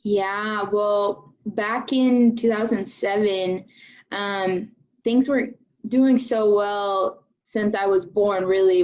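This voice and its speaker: A young woman from Venezuela in her twenties, an engineering graduate student answering interview questions at an unhurried pace with many ums. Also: medium pitch, a little mellow, poor audio.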